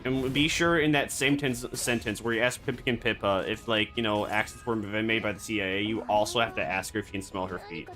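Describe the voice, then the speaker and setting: A man streaming a game, rambling and joking in absurd deadpan banter. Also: on the low side, brisk, hardly any pauses, music in the background. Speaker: VTuber streamer. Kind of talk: casual gaming stream chatter.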